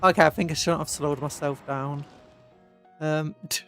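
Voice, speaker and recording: A man's voice: a little high, steady tone, normal speed, bright, forceful tone, faint music behind. A British man streaming a video game with casual, playful commentary, full of childlike exclamations and sing-song filler noises. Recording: noisy, game vehicle sounds behind. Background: video game vehicle noise.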